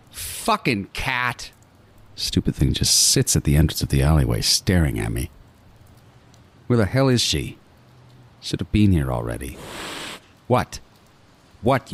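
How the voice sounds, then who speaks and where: An actor playing a meticulous, obsessive serial killer who narrates a horror audio drama in a brooding, deliberate and menacing way. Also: male voice, extremely low pitch, slight background noise, full character voice. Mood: tense, irritable.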